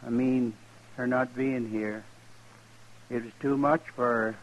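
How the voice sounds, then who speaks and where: Brief: man as older American homesteader, grieving and dazed, halting country talk, mid-pitched, radio western. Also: fairly even intonation, close to an everyday voice, poor audio. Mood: grieving, ashamed. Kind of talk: old-time radio western acting.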